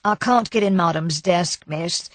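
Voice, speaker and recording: Lady reader doing a grumpy ladies maid, sour n self-righteous, low husky voice, storybook. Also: a little slow, no echo.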